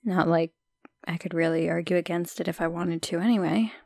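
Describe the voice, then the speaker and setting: A young woman playing a shy, friendly, modest minor goddess in an audio roleplay, chatting hesitantly with soft asides. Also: fairly low pitch, fairly even intonation, a little fast, vocal fry, dry recording. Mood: modest, flustered.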